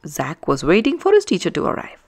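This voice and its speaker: Animated woman reading a children's storybook. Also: lowish voice, fairly quick, vocal fry, husky. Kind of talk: expressive children's read-aloud narration.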